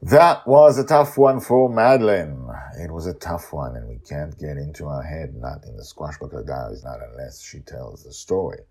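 A man hosting a story podcast, narrating scripted fiction in a theatrical way with dramatic pauses and swoops. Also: a bass voice, lively intonation, darkish tone, husky.

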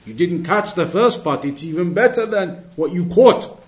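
A man with a notably high-pitched voice teaches an Islamic lesson. He reads narrations and explains them with emphasis, restating key phrases so that they are clear. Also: expressive, moderate pace. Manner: emphatic, repeats and rephrases phrases for clarity. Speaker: religious teacher.

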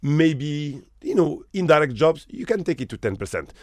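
A man's voice: a little high, very animated, moderate pace, dry recording, studio quality. A man from Morocco who manages hotels, talking as an interview guest with emphatic rhetorical questions.

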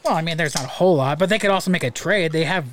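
A man's voice: on the high side, racing, no room sound. A man guesting on a podcast, earnestly explaining his views in casual sports talk.

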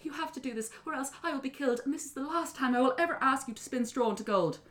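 A woman's voice: sits high, fast talker, voice pushed up, lightly acted. A woman voicing a desperate young miller's daughter in a fairy tale, pleading and stammering. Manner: pleading, stammering, breathless delivery.

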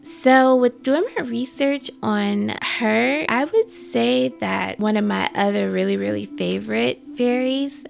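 A woman hosting a podcast, slightly hoarse from a cold, telling folklore in a chatty way with quick asides. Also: fairly high pitch, soft background music. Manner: chatty, reads facts then adds quick asides. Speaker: podcast host. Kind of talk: casual folklore storytelling.

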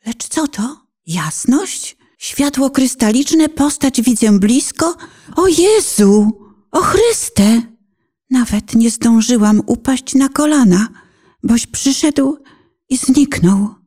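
A woman plays a penitent lost soul at prayer in a poetic radio play. The delivery is unhurried and solemn, with a dark, gentle tone. The words are fervent and pleading. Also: sits high, expressive, husky, heavily acted. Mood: awed, shaken. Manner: fervent, pleading, solemn, sometimes dropping to whispers. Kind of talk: dramatic poetic recitation, prayer.

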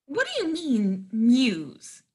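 A woman's voice: fairly high pitch, wildly swooping pitch, takes it slowly, a little mellow, a little hiss or hum, heavily acted. A woman playing a naive young would-be model in a play reading, hesitant and full of questions. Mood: unsure.

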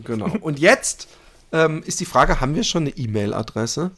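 A man's voice: fairly high pitch, animated, moderate pace, a bit bright. A man hosting a podcast in casual banter, often searching for words as he thinks aloud. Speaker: podcast host.

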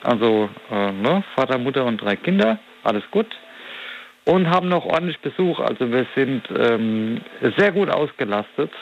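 A man's voice: mid-range voice, animated, moderate pace, lo-fi sound, a little hiss or hum. Reflective man of forty, rambling. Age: 40s. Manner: reflective, rambling, searching for words. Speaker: interview guest. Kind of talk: casual conversational interview.

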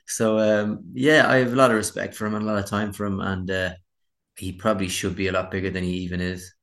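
Low-voiced Irish man chatting candidly. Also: level tone, fast, a bit bright. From Ireland. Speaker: musician being interviewed. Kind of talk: casual interview chat.

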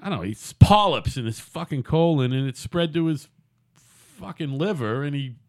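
A man's voice: fairly high pitch, expressive, moderate pace, darkish tone, no echo. Rambling, swearing man in his fifties. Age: 50s. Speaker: podcast host. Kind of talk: casual chat.

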